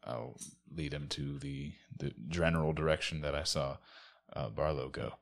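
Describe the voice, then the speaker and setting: A man playing a tabletop role-playing game, improvising casually in a halting, plain-spoken way. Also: a bass voice, fairly even intonation, creaky.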